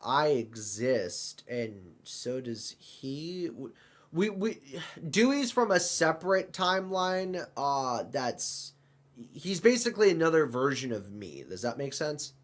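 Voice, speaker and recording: A man hosting an improvised comedy skit, talking in a halting, bemused manner. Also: mid-range voice, very animated. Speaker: host of a comedy web series.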